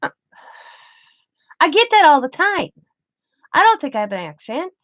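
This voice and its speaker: A woman's voice: sits high, big pitch swings, normal speed, a bit bright, dry recording. A woman from the Midwest, chatting casually and rambling while she crafts, laughing often. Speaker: craft vlogger.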